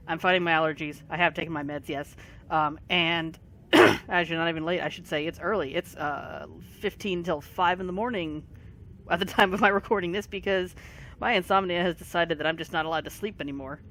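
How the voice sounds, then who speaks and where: A tired gaming video creator, short on sleep and fighting allergies, giving a casual rambling commentary full of pauses. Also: medium pitch, steady tone, bright, forceful tone. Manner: rambling, many pauses and fillers. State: voice affected by allergies.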